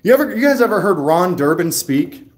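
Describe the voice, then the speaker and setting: A man livestreaming from a sheriff's office lobby, talking loudly and casually and rambling with many fillers and restarts. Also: high voice, big pitch swings, a little fast. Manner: loud voice, by his own account. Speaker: livestreaming channel host.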